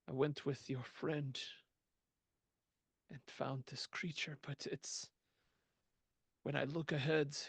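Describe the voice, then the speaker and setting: A man putting on a haunted mystic from a tabletop game who speaks in a slow, halting, flat way. His voice sits a little higher than his own and comes across dry and close. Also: vocal fry, breathy edge, no room sound, heavily acted.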